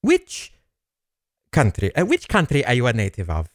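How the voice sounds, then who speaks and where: Someone acting a flustered foreign school boss, polite and stammery, super up and down pitch, dry recording, comedy drama. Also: wildly swooping pitch, normal speed, no echo. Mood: baffled, hesitant. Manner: hesitant, stammering, politely baffled.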